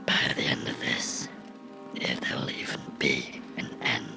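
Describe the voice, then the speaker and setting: A performer in a horror ASMR role-play voicing a vengeful fictional cartoon character, whispering with slow, deliberate menace and a gravelly edge. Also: natural voice. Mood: cruel, menacing. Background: music.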